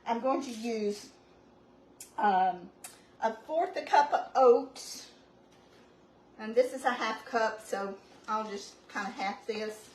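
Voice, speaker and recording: A woman's voice: a little high, takes it slowly, long pauses. A woman hosting a home cooking video, chatting casually and rambling through the steps in her kitchen. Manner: chatty, rambling, homey asides. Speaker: home cooking channel host. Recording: roomy, distant, noisy home recording.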